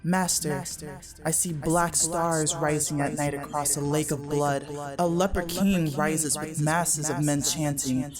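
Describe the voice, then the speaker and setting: A woman voicing a devoted occultist seer, solemn and incantatory, in a dark fantasy lore reading. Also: low-pitched, steady tone, unhurried. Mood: entranced, fearful. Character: devoted female occultist seer, grave, entranced prophetess. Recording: prominent music under the voice.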